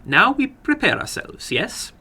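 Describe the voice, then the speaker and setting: Guy doing a creepy little foreign man, high voice, very polite n precise, bossy, horror story reading. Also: wildly swooping pitch, measured pace, gravelly, pushed and bright, husky, exaggerated voice. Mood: brisk, polite. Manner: stilted non-native phrasing, precise, courteous, commanding. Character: funny little foreign man, brisk, polite, sinister gambler.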